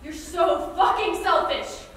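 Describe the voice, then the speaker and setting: A woman's voice: extremely high pitch, takes it slowly, a bit bright, full character voice. A woman playing a tough, caring, blunt and exasperated mentor in a staged play reading. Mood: hurt, angry. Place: large theater stage, recorded from afar. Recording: echoey theater, distant mic, faint noise.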